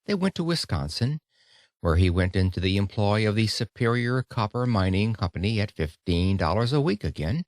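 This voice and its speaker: Guy narrating an audiobook, kinda low voice, really animated storyteller delivery w dramatic pauses, dry recording. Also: dry recording. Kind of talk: scripted audiobook narration of a speech.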